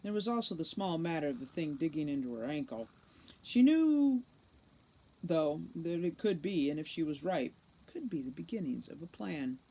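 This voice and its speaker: A woman reading a children's novel aloud as an expressive narrator. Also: low-pitched, normal speed, husky, rough recording. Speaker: read-aloud storybook narrator, teacher.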